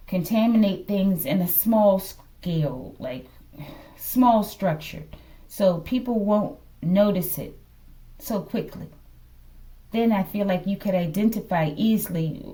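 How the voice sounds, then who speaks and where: A woman with an American accent and a soft-edged, mellow voice gives an off-the-cuff card reading. She muses aloud and keeps checking in with her viewers. The microphone sits a little away from her. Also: medium pitch, distant mic. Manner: musing, often checks in with okay. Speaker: tarot reader. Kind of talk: casual improvised card reading addressed to viewers.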